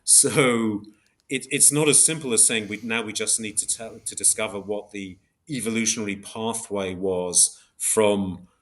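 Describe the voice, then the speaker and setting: A man's voice: fairly low pitch, a bit bright. A man explaining concepts as an academic interview guest, in a precise but hesitant way, often correcting himself. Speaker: philosopher guest, book author.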